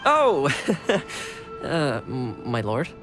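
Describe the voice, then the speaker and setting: A woman's voice: very deep voice, huge pitch swings, drawn-out words, over music, full character voice. An actress playing a poised, wry female retainer in a video game, politely teasing her lord. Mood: flustered. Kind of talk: scripted video game character dialogue.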